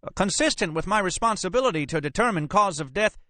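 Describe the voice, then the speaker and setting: A man's voice: high-pitched, expressive, fast talker, sharp tone, no echo, pitched above the performer's own voice. A man voicing an indignant, gray-haired medical examiner who falters easily, in an audiobook. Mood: cowed, faltering.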